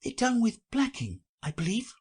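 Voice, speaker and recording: A man voicing a polite, curious young girl who speaks with a timid, wondering manner and a husky edge. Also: very high-pitched, huge pitch swings, normal speed, darkish tone, voice pushed up, full character voice.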